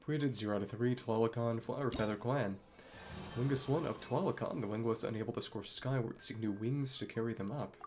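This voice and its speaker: A man's voice: medium pitch, fairly quick, a little mellow, a little hiss or hum. A man giving casual video game commentary, thinking aloud and murmuring to himself as he plays. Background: video game music.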